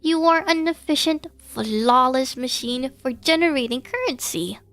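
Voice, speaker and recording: A woman's voice: sits high, lively intonation, measured pace, fairly bright tone, voice pushed up, exaggerated voice. A woman voicing a pompous inhuman machine boss in a game, speaking in stilted, formal, grandiose phrases. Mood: pompous, proud.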